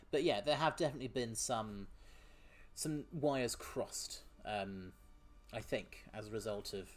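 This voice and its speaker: A man streaming a game, chatting with his viewers, often laughing and sometimes tripping over words. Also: medium pitch. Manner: chatty, laughs often, reads chat aloud; trips over words at times. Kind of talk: casual gaming stream commentary.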